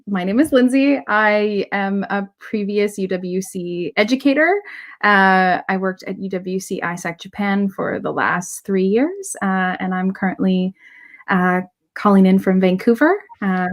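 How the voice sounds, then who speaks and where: A woman's voice: medium pitch, measured pace. A woman who used to teach, introducing herself on a video-call panel in a friendly way. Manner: apologetic, friendly. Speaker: former educator, panelist.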